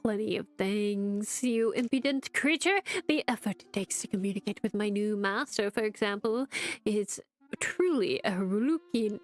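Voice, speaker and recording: A woman's voice: mid-range voice, moderate pace, faint music behind, lightly acted. A woman voicing a pompous talking book. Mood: haughty. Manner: haughty, theatrical put-on accent. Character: pompous grumpy talking old book, male.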